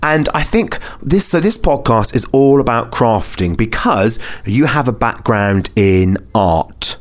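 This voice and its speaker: A man's voice: medium pitch, lively intonation, a little slow. A British man hosting a casual podcast interview, encouraging and effusive with his guest. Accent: British English. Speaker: podcast host.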